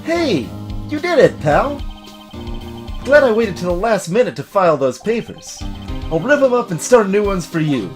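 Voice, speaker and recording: A man voicing a blunt, excitable, folksy middle-aged police detective in a video game. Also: high-pitched, normal speed, soft background music. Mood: friendly. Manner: folksy, blunt, keeps saying pal. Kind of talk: voiced video game dialogue.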